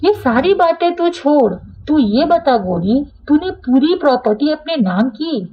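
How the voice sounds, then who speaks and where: Cartoon crow mother acted by a woman: older, greedy schemer, fairly high voice, conspiratorial, dull audio. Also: very animated, measured pace. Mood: scheming, urgent.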